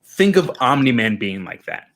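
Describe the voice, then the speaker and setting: A man co-hosting a casual podcast chat, talking in an animated, emphatic way. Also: medium pitch, normal speed. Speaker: podcast co-host.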